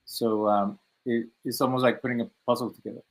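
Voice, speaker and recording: Flat-toned Mexican-born man, halting. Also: medium pitch, poor audio. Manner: halting, many fillers and restarts. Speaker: author guest. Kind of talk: interview answers.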